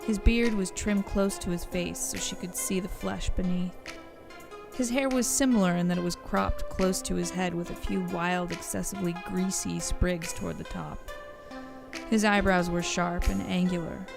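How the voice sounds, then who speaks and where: A woman narrates a scripted audio adventure. Her voice sits fairly low with noticeable creak, and music plays clearly underneath. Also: fairly even intonation, normal speed.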